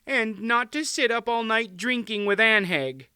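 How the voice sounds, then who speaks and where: A man's voice: sits high, a little slow, bright, forceful tone, smooth, no room sound, studio quality, pitched above the performer's own voice, full character voice. A man voicing a plump, affectionate little queen who fusses and pleads with a tearful quiver, in an audiobook. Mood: fussing.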